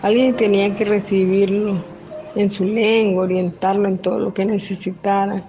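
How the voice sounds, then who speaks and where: An older woman, a retired nurse from the Venezuelan Amazon, calmly narrating her own life story in the first person. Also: mid-range voice, normal speed, slight background noise. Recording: dull lo-fi voice-over with music. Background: music.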